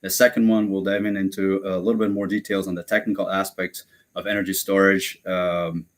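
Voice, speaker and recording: Brazil-born male lecturer, very low voice, halting and filler-heavy. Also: level tone. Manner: hesitant, many fillers and restarts. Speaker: guest lecturer. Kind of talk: academic webinar lecture.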